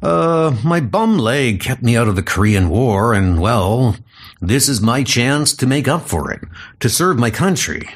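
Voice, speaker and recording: A man's voice: mid-range voice, very animated, a little slow. A man playing a frail, lame doctor in a comic audio drama, earnest and self-doubting.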